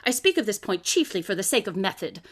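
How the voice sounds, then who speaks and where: A woman's voice: mid-range voice, big pitch swings, quick pace, fairly bright tone. A woman playing a brilliant, eccentric detective in a live radio drama, coolly superior, lecturing in precise, didactic speech. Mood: lecturing, emphatic. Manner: pompous, didactic, precisely articulated. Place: closet or desk at home.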